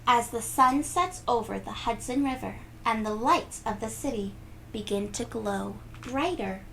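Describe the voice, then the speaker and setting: A woman's voice: fairly high pitch, gravelly, a little hiss or hum, rough recording. A woman reading a storybook expressively to children, drawing out words emphatically and chatting to the listeners. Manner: animated, emphatic, drawn-out words, gasps and sound effects. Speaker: children's read-aloud host.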